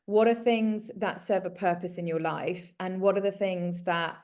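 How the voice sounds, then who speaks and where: A woman's voice: fairly low pitch, steady tone, normal speed, darkish tone. A woman in her thirties from South Africa, a guest talking casually and earnestly about her work and her story. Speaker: guest, home organiser. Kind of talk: casual conversation.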